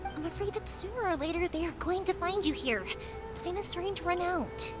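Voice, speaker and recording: A woman voicing a cheerful young fireworks maker in a game, bubbly and reassuring. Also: very high-pitched. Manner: bubbly, upbeat, quick to reassure. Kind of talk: game dialogue. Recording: game audio with music behind.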